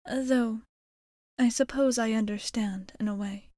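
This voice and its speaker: Woman playing a slow, hushed genteel vampire bartender. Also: fairly high pitch, steady tone, no echo, clean studio sound. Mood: tender, reassuring. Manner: formal, genteel phrasing, soft-spoken, partly whispered. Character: courtly, doting adult female vampire bartender, long-lived. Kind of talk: ASMR roleplay.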